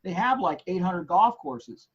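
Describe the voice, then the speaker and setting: A man co-hosting a golf news show in casual chat, hoarse and losing his voice, rambling with many fillers and restarts. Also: high voice, sing-song, unhurried, lo-fi sound.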